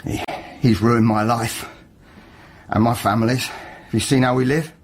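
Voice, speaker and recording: An actor playing a bitter, ruined working-class man confessing to murder in a radio drama, halting yet defiant. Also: medium pitch, fairly even intonation, natural voice. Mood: aggrieved, despairing. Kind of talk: naturalistic radio drama acting.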